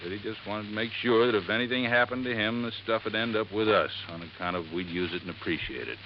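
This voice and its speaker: An actor playing a folksy, earnest boat-landing owner in 1950s radio drama, telling things in a plain, halting, emphatic way. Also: male voice, lowish voice, natural voice. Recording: dull, noisy vintage radio recording.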